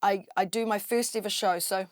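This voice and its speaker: A young woman with a New Zealand accent and a low voice, an MC telling her story in a lively, animated way as a podcast guest. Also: normal speed, no echo, clean studio sound. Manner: lively, animated storyteller who acts out quotes. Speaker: drum and bass MC, guest. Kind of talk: casual interview storytelling.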